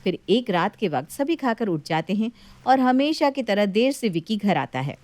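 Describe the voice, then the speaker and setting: A woman's voice: mid-range voice, sing-song, moderate pace, darkish tone, dry recording. A woman reading out scripted story narration as a storyteller.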